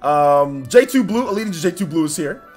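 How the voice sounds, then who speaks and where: A man hosting a casual livestream, rattling off upbeat shout-outs to viewers, with a slightly stuffy nose. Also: a little high, animated, faint music behind. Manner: upbeat, rapid shout-outs, laughs often. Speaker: livestream host. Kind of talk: casual livestream chat, reading out viewer names.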